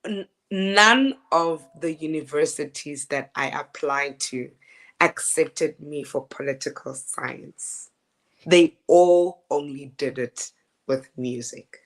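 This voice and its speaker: Lady, deep speaking voice, chatty and laughy, lots of restarts, kinda low. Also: slow. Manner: animated, laughing storyteller with frequent restarts. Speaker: opera singer guest. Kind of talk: casual interview chat.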